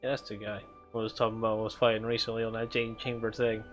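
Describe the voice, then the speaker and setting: A man streaming a game and chatting casually with viewers, relaxed and rambling, chuckling often. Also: lowish voice, fast talker, dull audio, faint music behind. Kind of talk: casual chat while gaming.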